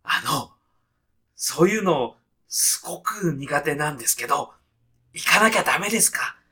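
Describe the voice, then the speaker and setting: A man's voice: on the high side, lively intonation, moderate pace, sharp tone, breathy edge, full character voice. Man acting a timid, straitlaced salaryman, polite and hesitant. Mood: uneasy. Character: timid, straitlaced salaryman newly arrived in heaven. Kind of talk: deadpan comic radio sketch acting.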